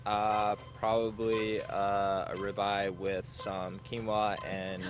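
A man's voice: lowish voice, little pitch movement, slow, over music. A young man from the United States, a soccer player giving easygoing, hesitant answers in a live interview on an outdoor field. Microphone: handheld mic held by interviewer.

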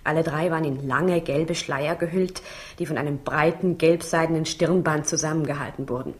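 An actress playing an intrigued, wry woman recounting events with measured, vivid delivery in a 1960s German radio drama. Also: low voice, fairly even intonation, lightly acted.

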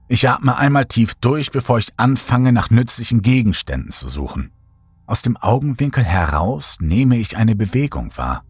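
A man narrating a horror audiobook in the first person, measured, somber and suspenseful, with dramatic delivery. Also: on the low side, a little mellow, breathy edge, no echo.

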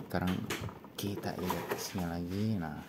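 Guy with a low voice doing a casual unboxing, kinda halting, noisy room. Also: steady tone. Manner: informal, halting, thinking aloud. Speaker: product reviewer. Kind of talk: casual unboxing and review chat. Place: indoor room with tiled desk. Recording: clearly noisy room recording.

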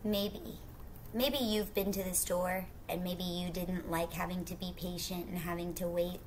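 A woman's voice: fairly low pitch, slight background noise, low-quality recording. A middle-aged woman reading a children's picture book aloud expressively, with casual asides to her young listeners. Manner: expressive, drawn-out rhyming phrases, questions to the listener. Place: small indoor room at home.

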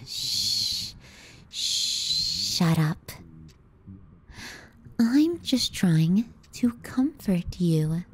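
A woman playing a teasing, callous, clingy younger sister in an intimate ASMR roleplay, sardonic and often hushed. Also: mid-range voice, breathy edge, full character voice. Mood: soothing. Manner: sardonic, needling, dropping into hushed murmurs.

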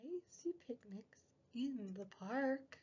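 A young woman hosting a preschool story time, reading a picture book in a sing-song, exaggerated way with drawn-out words. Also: fairly high pitch, a little mellow, slightly rough, lo-fi sound. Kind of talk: picture book read-aloud for small children.

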